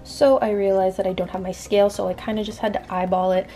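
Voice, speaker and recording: A woman's voice: medium pitch, level tone, quick pace, warm tone, faint music behind. Chatty young woman vlogging to camera. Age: young adult. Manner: chatty, rambling, filler-heavy. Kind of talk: casual vlog chat. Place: grocery store, car and bedroom. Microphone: handheld camera at arm's length.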